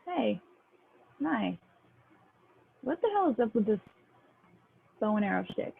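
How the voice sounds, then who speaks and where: A woman playing a cool, blunt, wry female fighter with a husky, creaky voice, in a table reading over a tinny call. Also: a little high, very animated, takes it slowly, a little hiss or hum, exaggerated voice. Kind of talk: amateur table reading of a film script. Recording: tinny, phone-like video-call audio.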